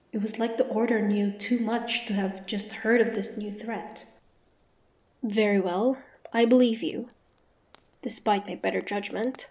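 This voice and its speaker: A woman playing a wary, sharp-tongued young demon hunter with a prickly but grudgingly softening manner, in a fantasy roleplay audio drama. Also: mid-range voice, close to an everyday voice, low-quality recording. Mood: doubtful, then grudgingly trusting. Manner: guarded, sharp-tongued, tsundere.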